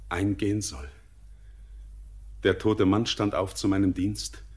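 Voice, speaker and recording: An actor playing an entranced, doomed young bridegroom in a classic German radio drama, declaiming solemn poetry in a visionary manner. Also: male voice, lowish voice.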